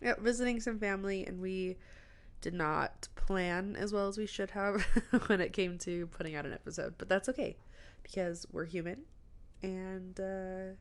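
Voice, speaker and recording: A woman's voice: medium pitch, fairly even intonation, normal speed, smooth. A woman co-hosting a family podcast, chatting casually and laughing often while congested from a cold. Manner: giggly, chatty. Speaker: podcast co-host. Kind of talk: casual chat.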